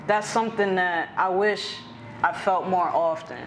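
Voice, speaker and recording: A woman's voice: lowish voice, measured pace, noisy background. A woman guest fashion designer on a casual podcast, rambling along with many "like"s. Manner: rambling, warm-hearted, frequent "like".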